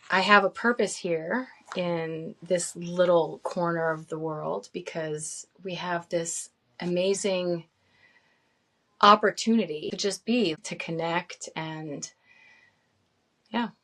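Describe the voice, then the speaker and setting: A woman in her late forties talks through her life story in a relaxed interview. Her voice sits a little low for a woman. She laughs easily and often stops to restart with an um. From upstate New York, raised in Saudi Arabia. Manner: warm, laughs often, frequent ums and restarts. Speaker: interview guest. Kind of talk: casual conversational storytelling.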